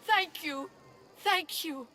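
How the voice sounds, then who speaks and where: A woman's voice: extremely high pitch, takes it slowly, bright, forceful tone, full character voice. An actress playing a pious, imploring ancient Greek mother in a video game, earnest in manner. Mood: grateful. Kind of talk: video game dialogue acting.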